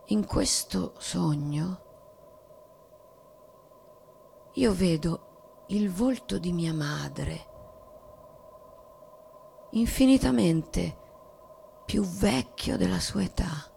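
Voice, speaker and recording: An actress playing a submissive, nostalgic young bourgeois wife in a literary radio drama, speaking deliberately. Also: female voice, on the low side, animated, vocal fry, mellow, slight background noise, full character voice. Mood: nostalgic, dreamy. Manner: slow, deliberate, at times whispered. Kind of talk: dramatic stage reading for radio, literary monologue.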